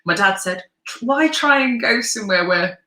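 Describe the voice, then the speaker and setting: A deep-voiced English woman talks freely as an interview guest, her tone bright and forceful despite the low register. She laughs easily and restarts her sentences as she goes. The recording carries a noticeable roomy echo. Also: lively intonation, moderate pace. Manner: chatty, laughs often, many restarts. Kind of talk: conversational interview.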